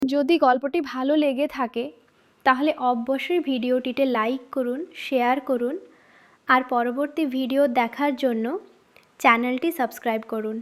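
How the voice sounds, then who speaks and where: A woman delivers a scripted announcement in the manner of a channel presenter. Her voice sits high in pitch. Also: steady tone, moderate pace.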